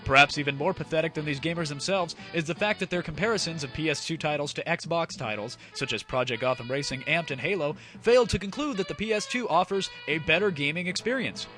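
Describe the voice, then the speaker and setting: A man voicing a pompous news anchor, reading a mock bulletin in a formal, deadpan, emphatic way. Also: a little high, fast, hardly any pauses. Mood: solemn, self-important. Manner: formal, deadpan, stiltedly emphatic newsreader delivery. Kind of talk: parody news broadcast narration. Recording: loud music bed behind voice.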